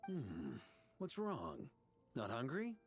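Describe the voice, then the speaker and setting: A man's voice: medium pitch, huge pitch swings, slow pace. A man voicing a laid-back, roguish and good-humoured knight Grandmaster in a video game, casual and playful. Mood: casual, wary.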